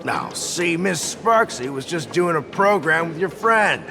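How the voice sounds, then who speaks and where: A man's voice: fairly high pitch, big pitch swings, a little slow, vocal fry, over music, heavily acted. A man voicing a courteous, reassuring official in a game, speaking politely and casually. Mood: polite, easygoing. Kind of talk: game voice acting.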